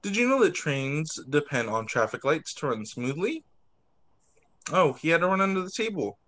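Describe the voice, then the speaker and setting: A man teacher reading picture books aloud to young children on a video call, expressive, drawing out words and adding child-friendly asides. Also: on the high side, moderate pace. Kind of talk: animated read-aloud story time for young children.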